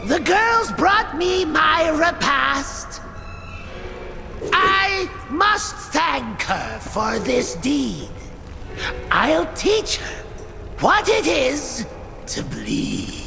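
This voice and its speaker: Creaky, high-pitched mad rhyming executioner. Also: male voice, heavily acted. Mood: gleeful menace. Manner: theatrical, sing-song rhyming, gleefully menacing. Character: mad rhyming male executioner in a cursed merry mask. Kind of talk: video game villain acting.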